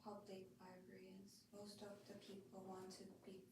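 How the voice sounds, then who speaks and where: A young woman, a language student, practicing a self-introduction in a hesitant, halting way with many fillers. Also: medium pitch, monotone. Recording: very echoey room, distant mic, background noise.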